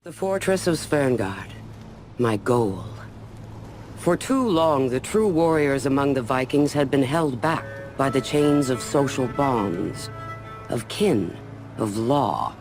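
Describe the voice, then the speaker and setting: A man's voice: medium pitch, lively intonation, slow, over music. A man playing a grim, solemn warlord commander who narrates a campaign of conquest in a video game. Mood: grim resolve. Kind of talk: dramatic scripted game narration.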